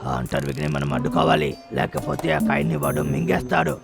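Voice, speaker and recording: A man voicing a scheming elder brother bear in a dubbed cartoon, bossy and gleeful, talking fast. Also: huge pitch swings, exaggerated voice. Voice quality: gravelly, swoops wildly in pitch. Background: music.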